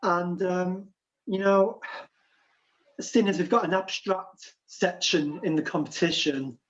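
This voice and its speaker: A man doing improvised comedy, talking in a calm, hesitant way full of fillers. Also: high-pitched, takes it slowly. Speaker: improv performer. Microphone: video call. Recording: dull lo-fi video call audio.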